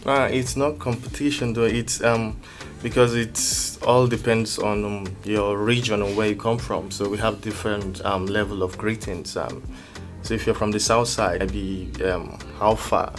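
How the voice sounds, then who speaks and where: A man with a Nigerian accent answering casually as an interview guest, explaining things haltingly. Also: fairly low pitch, little pitch movement. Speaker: music producer, interview guest. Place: music studio. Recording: music playing behind the voice.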